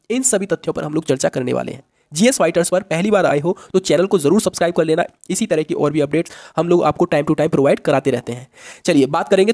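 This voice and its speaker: A man's voice: fairly high pitch, a little mellow. A man hosting an update, explaining things in a teacherly way straight to his viewers and mixing in English words. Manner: teacherly, addresses listeners directly, mixes in English words. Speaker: host of an exam-update channel.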